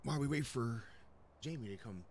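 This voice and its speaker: A man's voice: mid-range voice, lively intonation, a little fast, darkish tone, slight background noise. A man who streams games, talking casually and swearing as he mutters along in roleplay. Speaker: game streamer.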